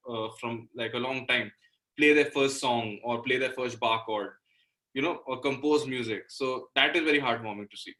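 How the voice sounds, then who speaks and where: A man's voice: medium pitch, bright, forceful tone, poor audio. A man from Delhi with an Indian accent, talking casually as an interview guest, earnest and repeating words for emphasis. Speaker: interview guest, musician and guitar teacher.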